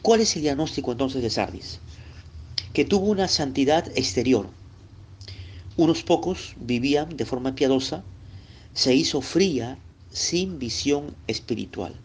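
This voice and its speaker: A man's voice: medium pitch, animated, unhurried, breathy edge. A man from Lima, Peru, with a Peruvian accent, teaching a recorded Bible lesson in a conversational way. He speaks straight to the listener and often checks in with short tag questions. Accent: Peruvian Spanish, Lima.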